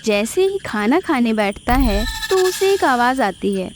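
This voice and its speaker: A woman's voice: fairly high pitch, sing-song, measured pace, slight background noise. A woman narrating a scripted moral cartoon story as its storyteller.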